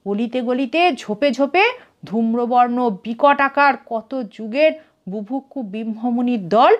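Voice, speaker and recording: A woman with a Bengali accent reading an adventure story aloud in a measured, expressive storytelling manner. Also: on the high side, dull audio. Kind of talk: scripted dramatic narration of a story.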